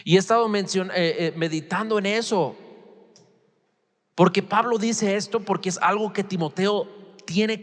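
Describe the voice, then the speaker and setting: High-voiced man preaching in a reverberant hall. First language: English. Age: 40s. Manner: informal, acts out imagined dialogue, asks the listeners rhetorical questions. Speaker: pastor preaching at a church. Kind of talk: conversational expository sermon in Spanish as a second language. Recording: reverberant hall with long echo.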